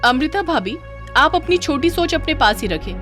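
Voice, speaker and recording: A spiteful young sister-in-law from a moral story, acted out by a woman. The voice is high and very animated, with sharp, condescending scorn. Faint music plays behind it. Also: normal speed. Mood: haughty, dismissive. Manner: sneering, sharp-tongued, condescending. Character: haughty, spiteful young sister-in-law who taunts and belittles.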